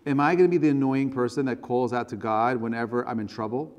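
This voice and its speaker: A man's voice: mid-range voice, steady tone, fast talker, darkish tone, highs cut off. A man giving a conversational religious lecture as a rabbi, earnestly putting questions to his listeners. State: voice strained, choking up. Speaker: rabbi teaching a class. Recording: reverberant hall.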